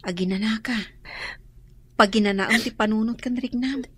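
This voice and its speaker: A woman playing a caring, consoling figure in a radio drama, breathy and earnest in her delivery. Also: on the high side, moderate pace.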